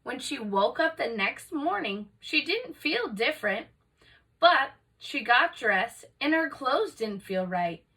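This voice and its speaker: A woman reading a children's picture book aloud in an expressive, dramatic storytelling manner. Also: sits high, slow pace, husky, distant mic, rough recording. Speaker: storybook reader.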